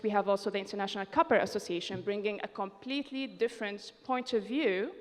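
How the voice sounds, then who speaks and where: A woman opening a conference session, introducing the speakers in a measured way. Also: mid-range voice. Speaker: session opener. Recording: long reverb of a conference hall.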